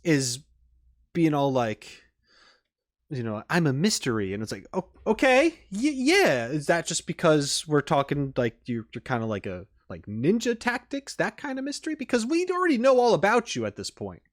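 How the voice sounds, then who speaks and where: A man's voice: fairly high pitch, huge pitch swings, no echo. Dry-recorded man giving rambling casual commentary. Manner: rambling, halting, repeats words as thinking aloud. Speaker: YouTube reaction host. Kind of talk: casual off-the-cuff video commentary.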